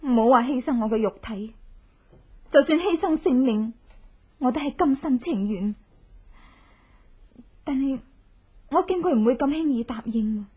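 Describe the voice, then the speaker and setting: A woman's voice: sits high, lively intonation, mellow, husky, heavily acted. An actress playing a determined young avenging woman who coaxes sweetly, in Hong Kong Cantonese radio drama style. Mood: resolute, earnest. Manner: coaxing, theatrical, drawn-out sentence particles.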